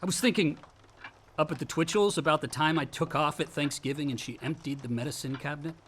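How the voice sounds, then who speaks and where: An actor playing a volatile, wounded college-age son in a radio play, with a sardonic, defensive American delivery. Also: male voice, a little high, quick pace, a bit bright, a little hiss or hum, close to an everyday voice. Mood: subdued. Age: young adult. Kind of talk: naturalistic radio play acting.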